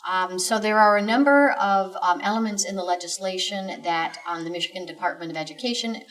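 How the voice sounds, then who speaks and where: A woman giving an informative explanation as a school district guest, in a measured, formal and methodical way. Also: mid-range voice, a bit bright, far from the mic, poor audio.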